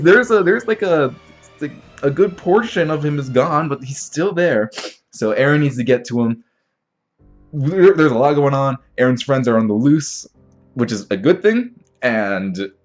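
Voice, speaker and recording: A young man with a slightly stuffy, sniffly voice from a cold, hosting an anime reaction with rambling, excitable commentary full of fillers and restarts. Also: mid-range voice, lo-fi sound.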